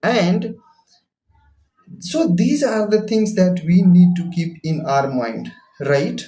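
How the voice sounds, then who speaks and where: A man's voice: sits high, lively intonation, takes it slowly, mellow. A young man whose first language is Hindi, giving an earnest, repetitive motivational English lesson from a ground-floor room at home. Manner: earnest, repetitive, addresses viewer directly. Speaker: English teacher. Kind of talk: motivational talk to camera.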